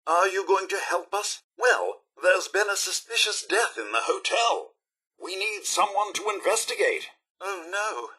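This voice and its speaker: Prim, fussy robot butler. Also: male voice, sits high, very animated, measured pace, bright, forceful tone, slightly rough, exaggerated voice. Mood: alarmed, worried. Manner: prim, formal, mannered butler diction. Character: polite, fussy, anxious male robot butler. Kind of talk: scripted video game character dialogue.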